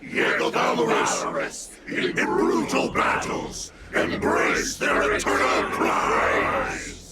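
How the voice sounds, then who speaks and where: A man's voice: extremely high pitch, animated, extremely slow, raised voice, a loud crowd, very noisy, heavily acted. An actor playing a menacing, bloodthirsty male wolf warlord who snarls threats and chants a battle creed in a game cutscene. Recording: game audio with music behind.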